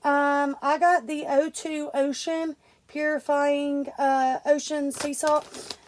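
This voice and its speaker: A woman's voice: high voice, little pitch movement, takes it slowly. A young woman, congested and stuffy, casually talking through an unboxing with lots of fillers and restarts. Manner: rambling, many fillers and restarts. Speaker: vlogger.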